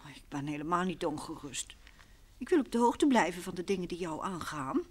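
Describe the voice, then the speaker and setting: A woman's voice: on the low side, expressive, brisk, breathy edge. A woman playing a spirited, devoted wife in an old radio drama. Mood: calm, caring. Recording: faintly noisy old radio recording.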